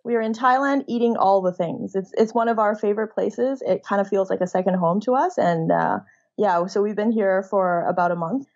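A woman's voice: medium pitch, expressive, fairly quick, darkish tone. Woman in her thirties, lo-fi call audio. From China. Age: 30s. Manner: open, conversational storytelling. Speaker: guest. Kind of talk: casual interview talk.

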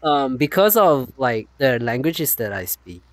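A man hosting a casual chat stream in an animated, rambling way with frequent laughs and a non-native accent. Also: mid-range voice, measured pace, dry recording. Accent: non-native, unnamed. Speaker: streamer host.

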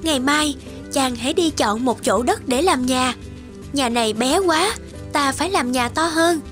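High-voiced, gentle young fairy wife. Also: female voice, animated, measured pace. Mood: reassuring. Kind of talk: cartoon folktale dubbing. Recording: music behind the voice.

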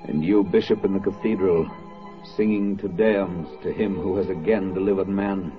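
An actor playing a solemn, rousing wartime narrator, declaiming in an oratorical radio drama style. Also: male voice, deep voice, steady tone, moderate pace, music in the background, close to an everyday voice. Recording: muffled 1940s radio recording.

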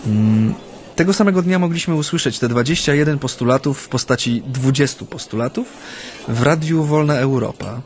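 An actor playing an earnest Japanese man recalling his activist past in a radio play, with a reflective, halting delivery. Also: medium pitch, close to an everyday voice. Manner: hesitant, reflective, with frequent hums and pauses. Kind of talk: radio drama, conversational recollection.

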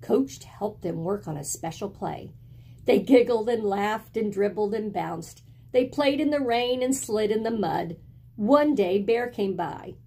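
A middle-aged woman reading a picture book aloud in an expressive, deliberate storytelling style, indoors at home. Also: medium pitch, husky. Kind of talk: expressive children's read-aloud. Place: small indoor room at home with bookshelves.

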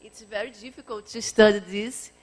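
A woman from Brazil asking a question in halting, English, searching for her words. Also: medium pitch, poor audio. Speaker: audience member asking a question.

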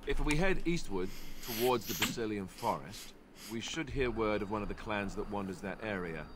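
A man's voice: lowish voice, expressive, normal speed, close to an everyday voice. An actor playing a deferential young male warrior in a video game, with a wry, conversational manner. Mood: informative. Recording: clear background ambience noise.

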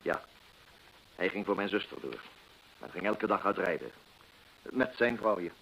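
An actor playing a proud, cornered gentleman in a radio drama, formal and self-justifying as he defends his desperate deed. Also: sits low, lively intonation, measured pace, gravelly, slight background noise, full character voice. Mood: grave, confessing. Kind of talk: Dutch radio drama acting. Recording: muffled vintage radio play recording.

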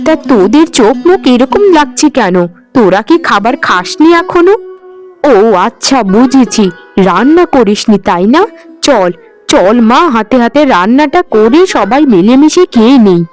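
A woman's voice: on the high side, animated, moderate pace, a little mellow, faint music behind, close to an everyday voice. A woman playing a poor, careworn, tender mother in a cartoon, speaking in a plaintive, sighing, affectionate way. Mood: weary, reassuring. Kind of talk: animated Bengali folk-tale cartoon acting.

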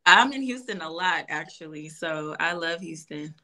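A woman's voice: low-pitched, moderate pace. A woman in her thirties from California, chatting and laughing on a live video call dating show. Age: 30s. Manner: laughing, teasing, lively. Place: indoor room. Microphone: video call, phone or laptop.

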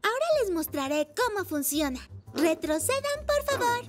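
Kid-sister motorbike: tiny, very high girl voice, headstrong and sweet, music underneath. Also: sing-song, moderate pace. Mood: proud. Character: headstrong little girl toddler motorcycle, sulky but sweet. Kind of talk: children's cartoon dialogue in Spanish. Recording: children's music behind the voice.

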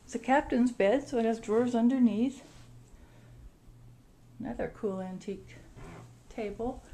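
Slow-paced woman giving a house tour. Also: on the high side, mellow. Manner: short descriptive phrases while walking about. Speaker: vacation rental host giving a house tour. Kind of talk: casual walk-through narration. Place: indoors, moving through rooms of a house. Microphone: handheld camera's built-in mic. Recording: noisy handheld camera recording.

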